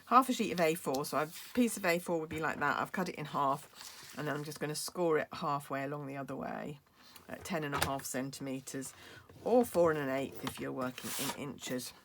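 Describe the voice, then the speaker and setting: British woman, low voice, chatty step-by-step craft demonstrator with a hoarse edge. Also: fairly quick, a bit bright, a little hiss or hum. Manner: chatty, explains step by step with fillers. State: losing her voice, coughing. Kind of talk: crafting tutorial.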